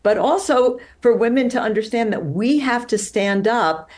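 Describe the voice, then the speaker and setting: A woman's voice: a little high, animated, normal speed, darkish tone. A woman representing an advocacy group on a live panel over a video call from her living room, earnest and rallying.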